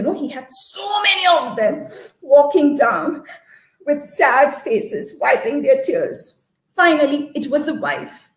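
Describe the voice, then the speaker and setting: Female storyteller, high voice, slow theatrical delivery with repeated phrases, roomy live-stream sound. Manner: theatrical, repeats phrases for effect, checks in with listeners. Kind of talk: live interactive folktale storytelling with songs and actions.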